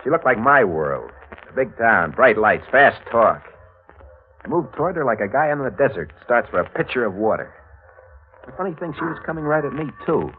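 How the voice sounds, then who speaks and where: An actor playing a hardboiled, scheming drifter in 1940s radio noir, terse and slangy, heard on a muffled old recording. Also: male voice, mid-range voice, sing-song, moderate pace, lightly acted. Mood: brooding. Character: hardboiled, scheming male drifter narrating his crime.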